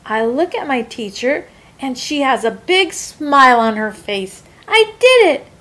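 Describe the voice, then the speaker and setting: A woman reading a children's picture book aloud in an expressive storytelling style, with deliberate phrasing. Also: a little high.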